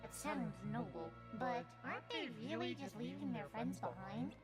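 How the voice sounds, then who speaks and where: Pint-sized game villain: taunting, insinuating nightmare creature, very high voice over loud music female actor. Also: big pitch swings, moderate pace. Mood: mocking. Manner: mocking, insinuating. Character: small taunting nightmare spirit, sly and malicious. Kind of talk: animated game fandub villain acting.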